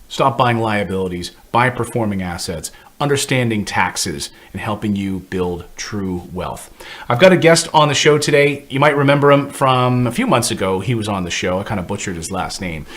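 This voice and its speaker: Upbeat low-voiced male show host. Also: normal speed. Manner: energetic, plugs channel, jokes about names. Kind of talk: upbeat interview chat.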